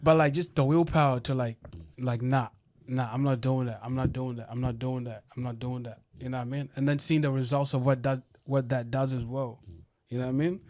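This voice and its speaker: A young man who grew up between Uganda and Australia, talking in a loose, streetwise way. He keeps dropping "you know what I mean" between his thoughts, and his voice sits at an ordinary middle pitch. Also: steady tone, a little fast, darkish tone. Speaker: guest, event promoter. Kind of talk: casual podcast chat. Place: podcast studio.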